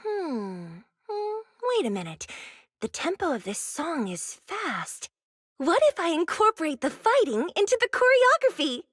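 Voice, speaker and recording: Spirited high-voiced young pop idol. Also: female voice, sing-song, unhurried, fairly bright tone, no room sound, studio quality, heavily acted. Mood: playful resolve. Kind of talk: anime-style game voice acting.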